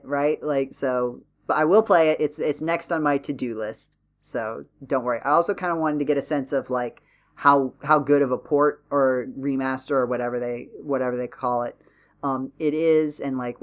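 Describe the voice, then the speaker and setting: A man's voice: medium pitch, fairly even intonation, moderate pace. A man hosting a podcast, talking casually about video games in a wry, rambling way and often clarifying himself. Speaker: podcast host. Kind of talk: casual video game chat.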